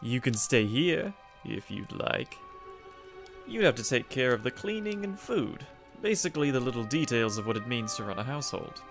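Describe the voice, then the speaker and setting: A man playing a suave, sinister gentleman in a comic dub, theatrical and mockingly polite. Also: mid-range voice, sing-song, moderate pace, gravelly. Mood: smooth, controlled. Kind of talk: comic dub character acting. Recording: voice over background music.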